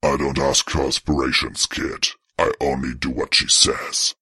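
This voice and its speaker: Very deep, gravelly undead ghoul. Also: male voice, little pitch movement, a little slow, pushed and bright, dry recording, studio quality, exaggerated voice. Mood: bitter resignation. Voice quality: gravelly, harsh. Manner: resigned, gruff, casual menace. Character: weary, menacing two-hundred-year-old male undead ghoul beast.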